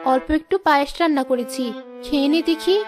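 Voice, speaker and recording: A woman's voice: sits high, quick pace, music in the background, close to an everyday voice. A woman voicing a poor village mother in a cartoon, tender and anxious, with a coaxing, fretful manner. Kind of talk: Bengali moral-story cartoon dubbing.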